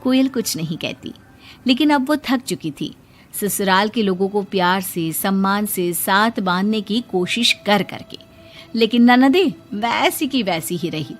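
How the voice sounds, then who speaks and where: A woman's voice: mid-range voice, animated, a little slow, soft background music. A woman reading a scripted moral story as its narrator.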